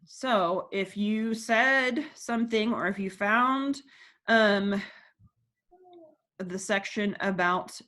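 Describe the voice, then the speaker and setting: A woman teacher reads a textbook passage aloud at a middle pitch, pausing often to explain terms and ask questions. Her talk is plain and patient, with filler words between sentences. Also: fairly bright tone. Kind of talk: classroom read-aloud.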